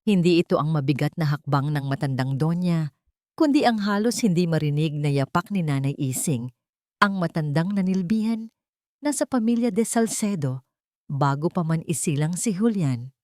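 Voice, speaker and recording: A woman's voice: sits low, expressive, normal speed, a little mellow, dry recording, clean studio sound. A woman with a Filipino accent reading a scripted story aloud as a dramatic audiobook narrator.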